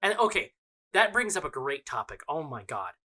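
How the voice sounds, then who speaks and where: A bright-sounding young man chatting on a podcast. He banters loudly, jokes and swears freely. His tone is casual and brash. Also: fairly high pitch, big pitch swings, normal speed. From United States. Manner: loud, profane, bantering and joking. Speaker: podcast co-host. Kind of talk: casual chat.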